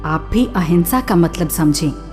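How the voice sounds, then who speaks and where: A woman narrating a scripted radio drama in a measured, didactic manner, addressing listeners. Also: on the low side, animated. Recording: soft music under the voice.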